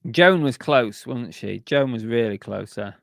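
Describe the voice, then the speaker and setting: A man's voice: mid-range voice, animated, a little slow, gravelly, dry recording, studio quality. Chatty man, voice going. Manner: chatty, rambling, with many fillers and restarts. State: losing his voice. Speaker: live stream host. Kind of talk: casual live stream chat.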